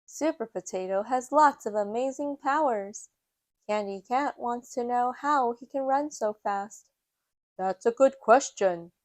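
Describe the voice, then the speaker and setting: A woman reads a children's picture book aloud. Her voice sits a little high, and her storytelling is measured and slow, with hardly any room sound. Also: no echo. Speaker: storytime reader.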